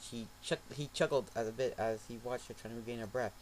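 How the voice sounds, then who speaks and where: A man reading a fan story aloud in a mocking amateur dramatic reading, with ironic asides and shouted outbursts. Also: mid-range voice, fairly even intonation, brisk, a little hiss or hum, rough recording. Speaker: reader.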